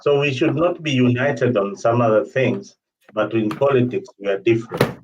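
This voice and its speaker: A man's voice: medium pitch, normal speed, darkish tone, a little hiss or hum. A man leads a political discussion in an emphatic, repetitive way. His voice sits in the middle of the male range and comes through muffled, a little away from the mic, as on a livestream call. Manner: emphatic, repeats phrases. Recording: dull, distant livestream call audio.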